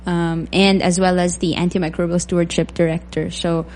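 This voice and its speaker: A woman, a doctor answering questions in a radio interview in a measured, thoughtful way with frequent pauses. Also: lowish voice, flat delivery, darkish tone, slight background noise. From Philippines. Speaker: guest physician.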